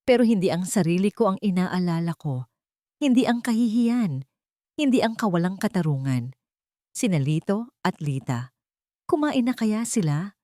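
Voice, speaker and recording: A woman narrating a scripted first-person dramatic story in measured, short phrases. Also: mid-range voice, big pitch swings, dark tone, no room sound, clean studio sound.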